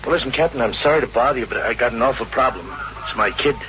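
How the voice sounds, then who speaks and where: Man playing fast-talking, worried young father. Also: mid-range voice, bright, forceful tone, close to an everyday voice. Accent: American. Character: worried, apologetic young working-class father seeking help. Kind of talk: 1950s radio drama acting. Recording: dull vintage radio recording, faint noise.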